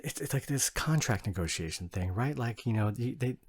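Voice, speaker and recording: A man playing an exasperated, flustered, snobbish young anime fan boyfriend in an ASMR roleplay, arguing in halting bursts with repeated words. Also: medium pitch, expressive, husky. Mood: indignant, passionate. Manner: halting, repeats words, sighing emphatic rant. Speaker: audio roleplay performer.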